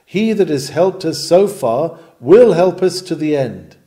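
A man's voice: fairly high pitch, expressive, measured pace, mellow. A man reading a historic sermon aloud in an earnest, oratorical and rhetorical manner, with emphatic delivery.

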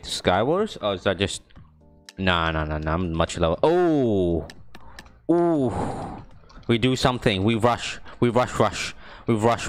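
A young man casually talking to himself while streaming a video game, rambling and joking, with high yelps in fights. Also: a bit bright. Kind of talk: casual gaming stream commentary.

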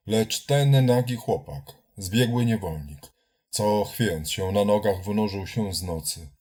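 A man's voice: fairly low pitch, a little mellow. A man giving a dramatic reading of a political essay in a solemn, accusatory, declamatory manner. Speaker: reader of political writings.

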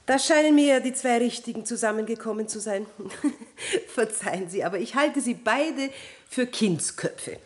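An actress playing a gracious, skeptical, lightly mocking countess in a 1960s German radio play. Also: female voice, on the high side, normal speed, close to an everyday voice. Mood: teasing. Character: amused, skeptical countess with aristocratic poise.

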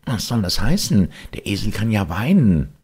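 An excitable, naive boy puppet from a storybook, voiced by a man, pitched up from a deep register. He speaks eagerly, with swooping intonation. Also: normal speed, breathy edge, full character voice. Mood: indignant, puzzled. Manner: eager, exclamatory.

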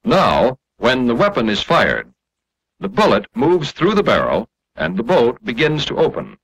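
An American man narrating a scripted 1940s instructional film in a measured, explanatory manner, on an old film soundtrack. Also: mid-range voice, huge pitch swings, a bit bright, husky, rough recording.

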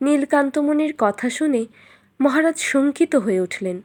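A woman storyteller reading a scripted fairytale in an expressive, dramatic way. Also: sits high, moderate pace.

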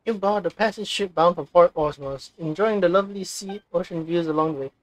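A man gamer casually reading along and reacting to game dialogue with relaxed, offhand remarks. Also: sits high, measured pace, low-quality recording. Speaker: gamer recording a playthrough. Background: game music.

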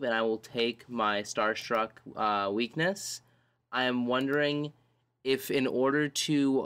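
A man playing a tabletop role-playing game, describing his character's actions in a measured, thoughtful way. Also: medium pitch, a bit bright, smooth. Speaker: tabletop role-play player.

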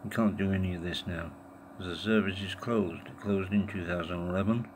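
A man giving a casual, halting hands-on demonstration, muttering to himself in an informal way. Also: low voice, steady tone. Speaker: tech video maker. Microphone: handheld phone or camera held over the device. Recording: noisy amateur handheld camera recording.

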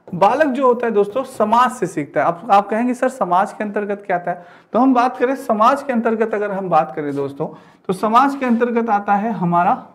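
A man's voice: sits high, a bit bright. Man teaching with repeated rhetorical questions. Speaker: teacher giving an exam-prep class. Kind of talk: explanatory classroom lecture to camera. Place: indoor studio with digital teaching board.

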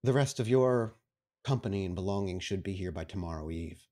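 A man playing a stern, commanding vampire prince in a roleplay game, speaking formally and with measured authority. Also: deep voice, dark tone, close to an everyday voice. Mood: formal, businesslike. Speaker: game master. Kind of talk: tabletop roleplay acting.

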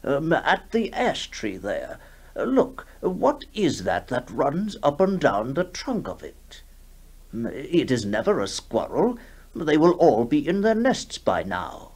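A man playing a troubled, earnest country gentleman in a radio ghost story, hesitant and musing. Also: a little high, wildly swooping pitch, takes it slowly, breathy edge, exaggerated voice. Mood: startled, puzzled. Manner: hesitant, musing, with ums and sighs.

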